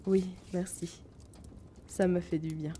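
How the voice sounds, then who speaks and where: A gentle, wounded young man who sells potions, acted by a woman, in an intimate fantasy audio drama. The voice is soft and dark, slightly low for a woman, unhurried and hesitant, with breathy confiding turns. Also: level tone, slight background noise. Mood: grateful, soft.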